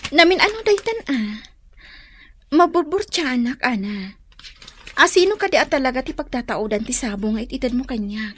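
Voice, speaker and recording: Spirited young lady, high husky voice. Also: expressive, moderate pace, long pauses. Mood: curious. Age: young adult. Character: curious, spirited young woman, boss's daughter. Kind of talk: radio drama acting.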